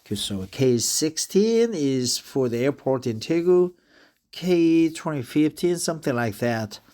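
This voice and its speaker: Tipsy Korean man in his fifties, halting. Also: a little high, lively intonation, dark tone. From South Korea. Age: 50s. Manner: halting, many fillers and restarts. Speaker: office worker practicing English. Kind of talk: casual monologue, reading aloud.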